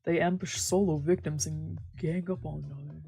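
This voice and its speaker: A woman voicing a calm, paternal male doctor in a measured visual novel read-aloud. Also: sits low, vocal fry, husky, no echo, full character voice. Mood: pensive.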